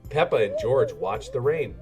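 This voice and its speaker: A man reading a children's story aloud in an animated narrating style. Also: mid-range voice, normal speed. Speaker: storybook reader. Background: music.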